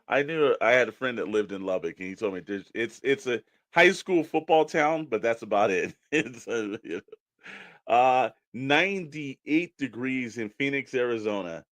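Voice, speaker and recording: A man's voice: mid-range voice, lively intonation, moderate pace, a bit bright, no echo. A man co-hosting a radio-style show in a folksy, rambling, chatty way, with casual joking delivery into a close mic. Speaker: co-host reading weather segment.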